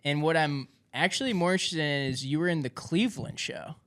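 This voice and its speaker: A man's voice: a little high, fairly quick, a bit bright. A man chatting casually as an interview co-host. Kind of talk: casual chat.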